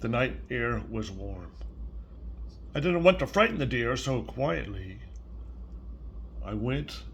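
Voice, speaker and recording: An older man speaks at a very unhurried pace with a creaky, gravelly edge. He sounds like a grandparent sharing a storybook, explaining things simply and gently for a young listener. There is faint noise behind him. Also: mid-range voice, lively intonation. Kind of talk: casual read-aloud storytelling for a child.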